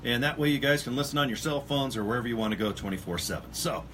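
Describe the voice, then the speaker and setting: A man's voice: medium pitch, fast, a bit bright, slight background noise. A man hosting a casual radio interview, talking in a rambling, chatty way with lots of fillers. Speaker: radio host.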